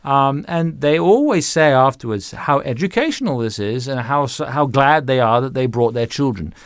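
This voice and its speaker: A man speaks as an interview guest in a conversational way, with a mid-pitched voice that has a touch of gravel. He phrases things haltingly, doubling back on words as he explains. The sound is dry and close. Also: animated, no room sound. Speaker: music director, interview guest.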